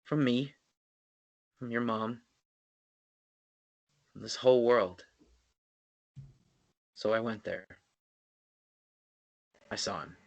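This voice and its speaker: A man playing an earnest, stubborn young man in a dramatic screenplay reading, intense and halting as he pleads. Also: medium pitch, gravelly, darkish tone, muffled recording. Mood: pained, pleading. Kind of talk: dramatic table read of a romance screenplay.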